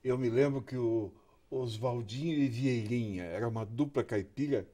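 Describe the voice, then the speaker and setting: Older male guest, husky, unhurried recollections. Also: medium pitch, warm tone. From Curitiba, Brazil. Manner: slow, halting, repeats words while recalling. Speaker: guest journalist. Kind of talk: reminiscing interview talk. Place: TV studio.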